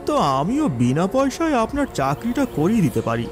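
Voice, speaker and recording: A man playing an arrogant, sleazy, bullying boss in an animated thriller, talking in a condescending, oily way. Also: high voice, normal speed, over music. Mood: sly, oily. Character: sleazy, arrogant, bullying male boss taking a job interview. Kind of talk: Bengali animated thriller acting.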